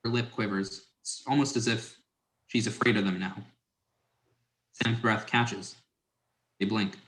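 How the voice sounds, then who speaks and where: Low-voiced man narrating in an echoey room. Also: fairly even intonation, measured pace, fairly bright tone. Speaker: narrator reading stage directions. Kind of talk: scripted dramatic narration. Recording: roomy, echoing sound.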